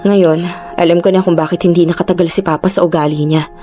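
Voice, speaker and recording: A woman's voice: lowish voice, steady tone, fast talker, dark tone, lightly acted. A woman acting a weary, dutiful young daughter. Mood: resigned. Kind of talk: Tagalog radio drama acting. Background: music.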